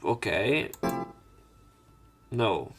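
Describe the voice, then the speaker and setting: A man's voice: fairly low pitch, animated, drawn-out words, over music. A man reading visual novel text aloud and breaking off for casual, sweary let's play commentary. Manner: casual, sweary interjections between read lines.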